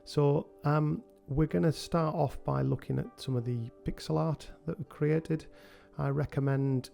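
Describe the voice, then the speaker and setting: A man with a cold hosting a relaxed software tutorial, talking through each step with frequent ums. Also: mid-range voice, vocal fry. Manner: relaxed, thinking aloud with many ums. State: has a cold. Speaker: game developer tutorial host. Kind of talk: screen-recorded software tutorial.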